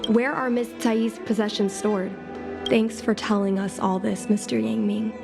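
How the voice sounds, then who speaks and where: An actress playing an earnest, reflective young daughter who dreams of flying, in a video game. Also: female voice, medium pitch, fairly even intonation, unhurried, natural voice. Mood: curious. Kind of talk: video game character voice acting. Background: music.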